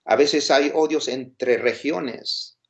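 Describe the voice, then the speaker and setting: A man's voice: mid-range voice, takes it slowly, gravelly. A man with a Peruvian Spanish accent giving an informal, explanatory lecture, posing questions and checking in with his listeners. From Peru. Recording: dull, lo-fi sound.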